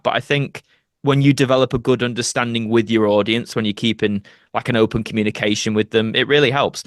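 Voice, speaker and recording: A man's voice: medium pitch, fairly bright tone, no echo. Young guy rambling casually in an interview, kinda self-deprecating, dry recording. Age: young adult. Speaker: podcast guest, actor and video creator.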